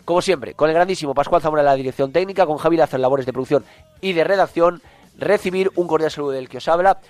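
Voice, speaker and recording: Chatty congested sports radio host. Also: male voice, a little high, quick pace, a little mellow, no room sound, faint music behind. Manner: chatty, repeats himself for emphasis. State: congested with a cough. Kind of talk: sports radio talk show.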